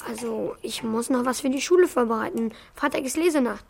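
An actor in a children's radio play voicing an excitable, shy young schoolboy with a candid, hesitant manner. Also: mid-range voice, brisk, gravelly, background noise, lightly acted. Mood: eager.

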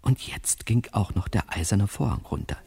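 A man's voice: on the low side, lively intonation, normal speed, vocal fry, dark tone, slightly rough, exaggerated voice. An actor playing a wry, self-satisfied male newspaper reporter, chattily narrating his own story in a radio crime drama. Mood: wry, storytelling.

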